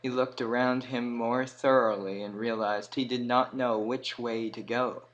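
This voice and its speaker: A man narrating a scripted fantasy story in a dramatic manner, with emphatic swells and hushed drops. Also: mid-range voice, fairly even intonation, unhurried, lo-fi sound. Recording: clear background noise.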